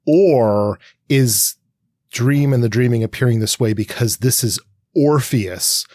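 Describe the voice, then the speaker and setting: A man's voice: mid-range voice, slow, a little mellow, no echo, studio quality. A man co-hosting a conversational literary discussion, posing long-winded, analytical questions. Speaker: podcast co-host.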